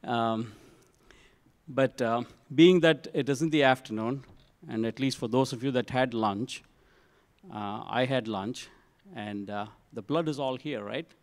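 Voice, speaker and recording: Halting man moderating a conference panel. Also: mid-range voice, no echo. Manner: relaxed, halting delivery with many fillers. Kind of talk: live panel introductions to an audience. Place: conference session room.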